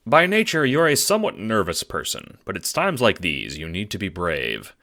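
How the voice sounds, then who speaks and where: A man narrating a scripted audio drama in a measured, dramatic storytelling manner. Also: on the low side, very animated, bright, forceful tone.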